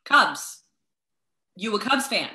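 A woman playing a brash, blunt, foul-mouthed new mother with joking warmth in a comedic play reading over a video call. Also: mid-range voice, big pitch swings, takes it slowly, echoey room, full character voice. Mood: chatty, blunt. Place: video call in an echoey room.

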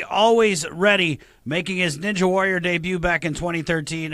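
A male sports anchor with a high, bright voice, reading the sports news with punchy energy. The sound is close and dry, like a studio booth. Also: no echo. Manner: energetic, exclamatory, punchy delivery. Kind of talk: upbeat sports newscast.

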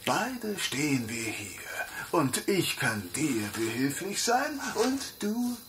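Sly, theatrical master thief, swooping. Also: male voice, a little high, big pitch swings, slow pace, background noise. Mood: sly, cautious. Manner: theatrical, chatty, conspiratorial. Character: big-bellied, boastful, sly, jovial master thief. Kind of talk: fantasy audio drama acting.

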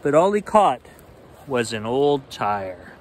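A man in his forties reading a children's picture book aloud expressively, in simple, deliberate phrasing. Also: mid-range voice, big pitch swings. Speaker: storyteller reading children's bedtime stories. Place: outdoors on a rainy forested shore. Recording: outdoor ambient noise.

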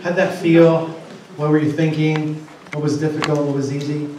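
A man's voice: fairly high pitch, level tone, moderate pace, darkish tone, a little hiss or hum. A man leading a seminar workshop, talking with the audience in a chatty, joking way. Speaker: workshop presenter. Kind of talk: interactive seminar talk. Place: hotel conference room. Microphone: handheld mic, far camera. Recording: echoey room, distant camera.

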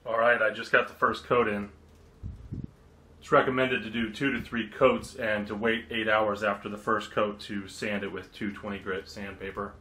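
Low-voiced man explaining boat work. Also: flat delivery, moderate pace, bright, forceful tone, reverberant, a little hiss or hum. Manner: plain, matter-of-fact explaining. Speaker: vlogger refitting his sailboat. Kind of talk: casual how-to narration. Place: aboard a boat in a marina.

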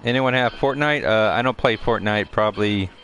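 Casting note: young male streamer, deep voice, headset mic, relaxed chatter with viewers over soft game music. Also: normal speed. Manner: relaxed, chatty, repeats phrases, answers chat as he plays. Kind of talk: casual live-stream chat reading viewer comments.

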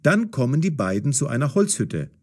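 Male narrator, audio story for learners, clearly articulated, animated melody. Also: mid-range voice, brisk.

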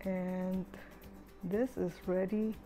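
Relaxed woman explaining a recipe. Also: fairly low pitch, very slow, faint music behind. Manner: relaxed, chatty step-by-step explaining. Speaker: home cook. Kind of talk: cooking tutorial voice-over.

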